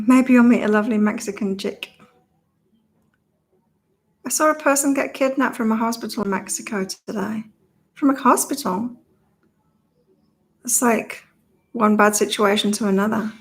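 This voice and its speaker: Slightly croaky woman chatting on a livestream. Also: fairly high pitch, moderate pace, pauses often, low-quality recording. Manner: chatty, reads viewer comments aloud, laughs often. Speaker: psychic reader and channel host. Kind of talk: casual livestream chat with card readings.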